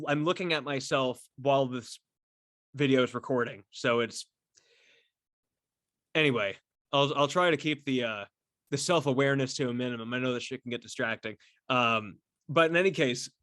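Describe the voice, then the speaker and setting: A man chatting casually and solo as a podcast host, effusive and halting, repeating words and swearing freely as he rambles. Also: mid-range voice, a bit bright, no room sound, clean studio sound. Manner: effusive, enthusiastic fan talk. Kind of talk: casual solo chat to camera about a favorite band.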